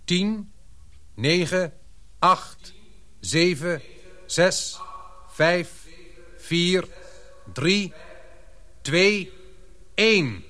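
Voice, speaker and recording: High-pitched, commanding expedition leader. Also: male voice, very slow, long pauses, sharp tone, full character voice. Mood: tense, commanding. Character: commanding expedition leader, male, tense but controlled. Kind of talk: Dutch radio drama acting.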